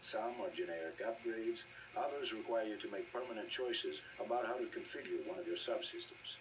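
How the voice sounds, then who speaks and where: A man's voice: fairly low pitch, a little fast, natural voice. Man playing a wry game doctor, distant recording. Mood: explaining. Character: easygoing, wry male army-agency doctor. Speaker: doctor character in a video game. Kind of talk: video game dialogue acting. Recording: game audio filmed off a TV, distant, hissy.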